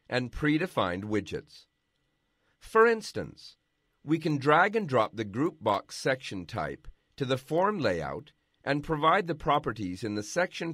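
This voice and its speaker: A man giving scripted, measured narration for a software tutorial. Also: mid-range voice, no echo, clean studio sound. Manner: measured, instructional.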